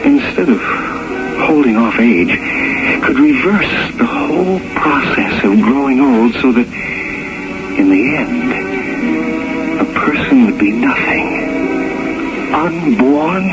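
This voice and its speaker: An actor playing a haunted, lovelorn man in a 1970s radio drama, halting and earnest, on a muffled vintage recording. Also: mid-range voice, lively intonation, loud music behind the voice. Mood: haunted, brooding. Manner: halting, earnest, troubled delivery.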